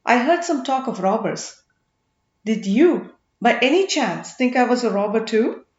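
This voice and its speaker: A woman's voice: a little high, very animated, unhurried, darkish tone, roomy sound, lo-fi sound. A woman voicing a scheming, derisive middle-aged male commander in an audiobook. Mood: mocking. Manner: derisive, needling.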